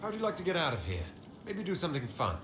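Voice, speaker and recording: An actor playing a calm, supportive heroic leader in a fantasy video game, reassuring and considered. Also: male voice, fairly high pitch, quick pace. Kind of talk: video game dialogue acting. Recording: echoey, with clear background noise.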